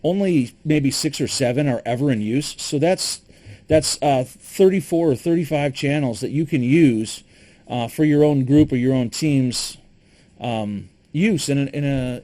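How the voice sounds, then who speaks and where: A middle-aged man with a Michigan accent, casually demonstrating a handheld radio, full of fillers and restarts. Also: medium pitch. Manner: relaxed, lots of fillers and restarts, matter-of-fact. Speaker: preparedness gear reviewer.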